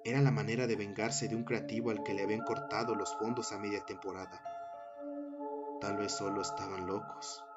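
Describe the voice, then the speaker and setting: Low-voiced man narrating horror over loud music. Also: level tone, a little fast. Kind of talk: scripted horror story narration. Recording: dull sound under loud music bed. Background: loud background music.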